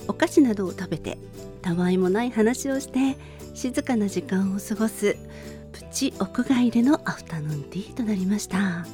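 A middle-aged woman hosts a radio program in a soft, mellow voice. She talks alone in polite, gentle phrasing, sharing small stories. Faint music plays behind her. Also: mid-range voice. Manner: polite, formal phrasing with personal anecdotes. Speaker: radio show host. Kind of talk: gentle monologue radio talk.

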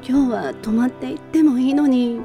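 A woman's voice: high voice, normal speed, music in the background, pitched above the performer's own voice. A woman playing a lovelorn, proud woman who clings to her lover in an amateur romantic radio drama. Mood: wistful, coy. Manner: wistful, putting on a brave front.

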